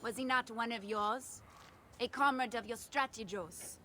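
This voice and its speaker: A woman's voice: fairly high pitch, unhurried, sharp tone. A woman playing a composed, defiant ancient Egyptian priestess in a video game, speaking formally in an echoey, noisy hall. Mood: coolly defiant. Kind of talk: dramatic video game acting. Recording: echoey hall with background noise.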